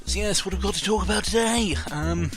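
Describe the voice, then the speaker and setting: Self-deprecating man rambling over loud music. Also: sits high. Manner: self-deprecating, sarcastic, sweary; halting, often stumbling over words. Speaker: YouTuber recording an audio log. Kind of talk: casual rambling chat. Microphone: close microphone with pop filter. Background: background music.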